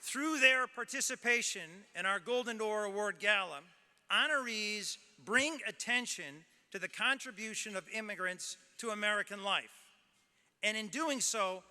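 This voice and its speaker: A man's voice: high voice, moderate pace, pushed and bright, clean tone. A man, the president of a nonprofit, giving a formal welcome speech at a gala with light joking. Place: large ballroom. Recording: hall reverb, faint crowd.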